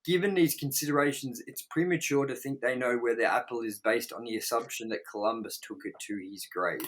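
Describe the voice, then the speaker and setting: A man's voice: medium pitch, brisk, a bit bright. A man hosting a casual, sweary movie review show, talking in a sarcastic, exasperated way.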